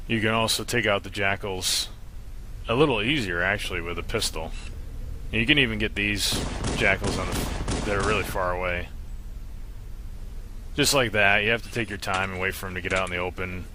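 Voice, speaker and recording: Low-pitched American man over game noise. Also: brisk, pauses often. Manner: matter-of-fact, explanatory. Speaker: video game walkthrough narrator. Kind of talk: casual instructional gameplay commentary.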